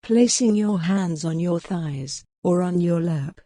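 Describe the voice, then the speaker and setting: A woman narrating a guided meditation in a measured, instructional manner, pausing gently between phrases. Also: lowish voice, no room sound, clean studio sound.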